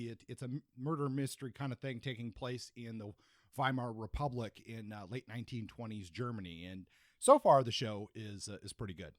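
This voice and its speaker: A man's voice: medium pitch, a bit bright, no room sound, clean studio sound. A man co-hosting a podcast, chatting casually about books. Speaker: podcast co-host. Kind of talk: casual book discussion.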